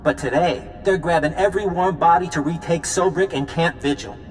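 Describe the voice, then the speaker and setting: Give the voice of a game's male resistance fighter, acted by a man, upbeat and effusive. He flirts playfully as he talks, in a fairly high register, with faint music underneath. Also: a little fast, close to an everyday voice. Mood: eager, briefing. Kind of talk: video game cutscene acting.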